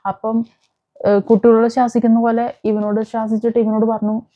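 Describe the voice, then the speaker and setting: Higher-pitched woman, informal storyteller. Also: monotone, normal speed. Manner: conversational, explanatory, mixing in English words. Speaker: host of a crime commentary channel. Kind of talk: informal true-crime storytelling to camera or mic. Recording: dull, muffled lo-fi sound.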